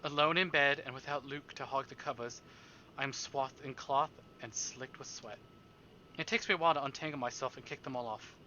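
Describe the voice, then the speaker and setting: A man's voice: mid-range voice, brisk, pushed and bright, dull audio, slight background noise. A man live streaming, reading a story aloud and adding playful, exclamatory asides to his chat. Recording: music behind the voice.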